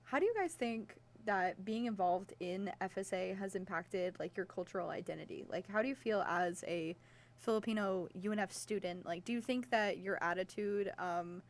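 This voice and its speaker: A young woman with a mid-range voice hosts student radio. She interviews guests in a casual, informal way and comes across as excitable. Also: medium pitch, normal speed. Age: young adult.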